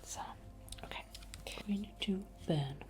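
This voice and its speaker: A British woman whispering in a breathy, soothing, reassuring way, as an ASMR host leading a healing ritual. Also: medium pitch, takes it slowly. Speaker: ASMR host, spiritual healer.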